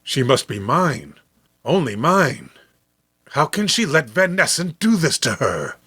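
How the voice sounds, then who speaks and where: A man's voice: on the high side, sing-song, measured pace, sharp tone, husky, no echo, studio quality, exaggerated voice. A man playing an obsessive, lovestruck musician in a horror comic, melodramatic and brooding. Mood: jealous. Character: Eric, obsessive lovestruck male musician, anguished.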